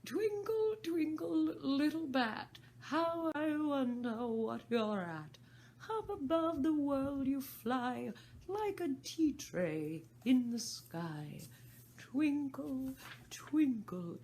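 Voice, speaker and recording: A woman's voice: a little high, animated, slow pace, creaky, pitched above the performer's own voice, full character voice. A woman voicing an eccentric, quarrelsome man with a mournful, sighing way of talking. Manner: mournful, then smugly argumentative.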